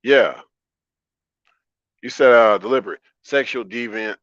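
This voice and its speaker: A man with a deep baritone voice talking calmly and conversationally as a livestream host, repeating phrases for emphasis. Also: unhurried. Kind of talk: conversational livestream monologue, answering chat. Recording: roomy, slightly dull lo-fi audio.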